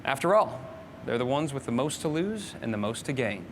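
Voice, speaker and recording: A man's voice: medium pitch, sing-song, normal speed, fairly bright tone, a little hiss or hum. A man presenting a live show, reading scripted links in a polished, upbeat way. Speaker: host.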